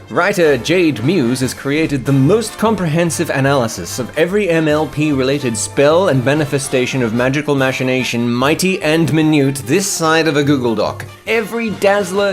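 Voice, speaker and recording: Guy doing jokey scripted host narration, super animated and theatrical, bit of music behind him. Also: medium pitch. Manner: theatrical, mock-grand, playful alliterative flourishes.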